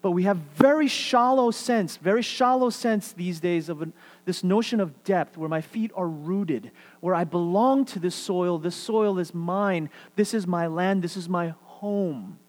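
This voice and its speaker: New guy preaching, kinda high voice, goes real quiet to make a point, little hum behind. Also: unhurried. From New York City. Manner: earnest, repetitive, drops to near-whisper for emphasis. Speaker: pastor. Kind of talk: sermon.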